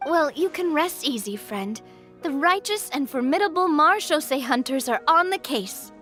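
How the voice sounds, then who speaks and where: High, assured young heroine. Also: female voice, expressive, moderate pace, fairly bright tone. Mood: proud, reassuring. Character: confident, kind young heroine playing a monster hunter. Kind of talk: video game dialogue. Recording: game music underneath. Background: background music.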